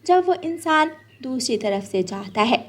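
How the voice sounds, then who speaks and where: Female children's story narrator: very high, animated, expressive scripted read. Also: unhurried.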